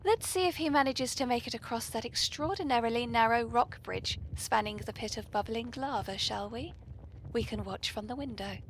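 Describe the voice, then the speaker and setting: High-voiced genteel British woman, noisy recording. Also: moderate pace. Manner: polite, genteel, playfully arch. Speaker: podcast host. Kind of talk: genteel comic tea-party hosting. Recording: poor, noisy amateur podcast recording.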